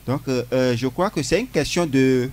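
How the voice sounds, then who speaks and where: A man speaking as a guest on a radio discussion show, talking deliberately with many hesitations. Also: mid-range voice, darkish tone. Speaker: studio guest.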